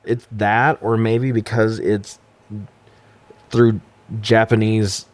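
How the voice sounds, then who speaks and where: A man's voice: fairly low pitch, flat delivery, slow pace, no echo. A man co-hosting a casual film review podcast, chatting and asking trivia in a blunt, informal, profane way. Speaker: podcast co-host. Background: music bed.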